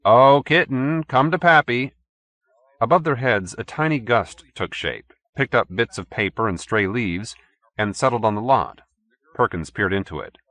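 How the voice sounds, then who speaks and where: A man's voice: on the low side, lively intonation, normal speed, fairly bright tone, dry recording. A man reading scripted fiction as an audiobook narrator.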